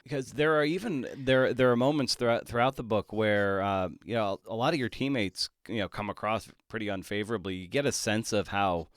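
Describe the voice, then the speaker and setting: A man's voice: on the low side, fairly quick, few pauses, dry recording, studio quality. A man hosting a conversational interview, feeling his way toward questions in a tentative, roundabout manner. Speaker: podcast host, interviewer.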